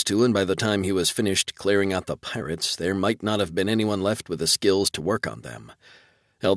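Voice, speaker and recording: A man reading scripted fiction narration in a low voice. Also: a bit bright, no echo, clean studio sound. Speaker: audiobook narrator.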